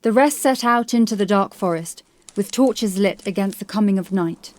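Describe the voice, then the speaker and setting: A woman's voice: medium pitch, normal speed, a little mellow. A woman reading scripted horror fiction as an audiobook narrator.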